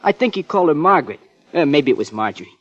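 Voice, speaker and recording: A man's voice: fairly high pitch, sing-song, very fast. An actor playing a chatty, polite young American elevator boy in a 1950s radio crime drama, eager and a little hesitant. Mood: gossipy. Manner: hesitant, chuckling, helpful. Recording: dull old radio recording.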